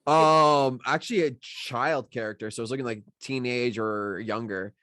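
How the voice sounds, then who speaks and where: A man's voice: mid-range voice, normal speed, bright, forceful tone, no echo. Man chatting informally, dry close sound. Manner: informal, rambling, self-interrupting. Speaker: writer of the script being discussed. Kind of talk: casual chat. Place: online video call. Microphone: video call.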